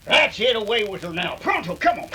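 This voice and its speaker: A rough cowboy henchman, acted by a man, sharp and forceful. The pitch jumps about over faint noise, in brisk 1930s radio western style. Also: very animated, heavily acted. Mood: urgent, brutal.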